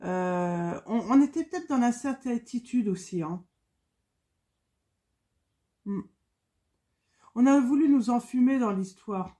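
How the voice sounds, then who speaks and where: A woman's voice: a little high, lively intonation, takes it slowly, long pauses, warm tone. A woman with a cold, giving a casual spoken tarot reading with many fillers and repetitions. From France. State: has a cold. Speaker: tarot card reader.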